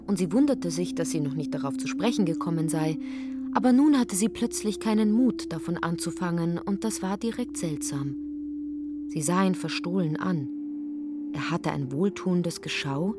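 A woman's voice: on the low side, animated, normal speed, loud music behind the voice. A woman reading literary narration expressively, slipping into characters' lines. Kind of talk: scripted literary narration for radio.

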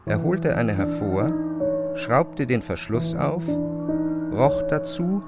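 A man with speech narrating a literary classic in a measured, expressive way. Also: medium pitch, gravelly, loud music behind the voice. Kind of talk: literary audiobook narration, dramatized radio reading.

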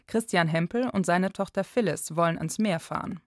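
A woman's voice: on the low side, normal speed, no room sound, clean studio sound. A woman reporter reading scripted narration for a radio feature.

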